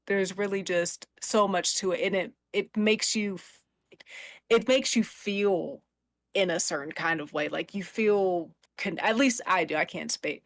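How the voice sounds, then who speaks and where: A woman's voice: lowish voice, no echo, studio quality. A woman podcast panelist chatting casually and emphatically as a fan. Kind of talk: casual chat about a TV show.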